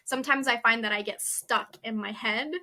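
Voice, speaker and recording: A woman's voice: on the high side, fairly even intonation, moderate pace, sharp tone. A woman hosting a casual interview, talking in a reflective, chatty way with plenty of "um" and "like" fillers. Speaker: interview host. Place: lives in Quebec.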